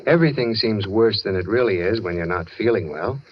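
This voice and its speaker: An actor playing an easygoing, reassuring husband with a dry, joking touch in a radio horror drama, on a muffled old recording. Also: male voice, lowish voice, expressive, fairly quick, background noise, natural voice. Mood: reassuring, wry. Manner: easygoing, polite, slightly hesitant. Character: affable, reassuring tall husband, drily joking.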